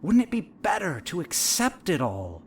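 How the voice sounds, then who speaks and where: An actor playing a calm, probing and coolly manipulative therapist in an audio drama, speaking in a measured, clinical, needling way. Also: male voice, high-pitched, slight background noise. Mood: coaxing, needling.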